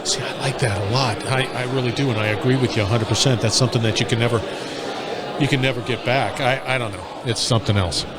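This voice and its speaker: A man's voice: mid-range voice, fairly even intonation, fast talker, a loud crowd. A male podcast host interviewing a guest on site at a conference, upbeat and emphatic. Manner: upbeat, emphatic sign-offs. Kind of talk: interview chat. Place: onsite at a user conference.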